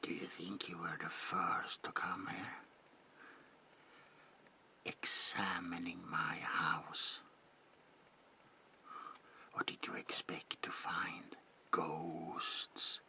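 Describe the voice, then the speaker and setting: A very low, gravelly whisper from an eerie old vampire, acted out by a man in an ASMR roleplay. He speaks slowly and with old-fashioned courtesy, a lonely recluse whose politeness carries menace. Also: little pitch movement, sharp tone, exaggerated voice. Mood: menacing, reproachful. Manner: slow, murmured, old-fashioned phrasing, lingering repetitions.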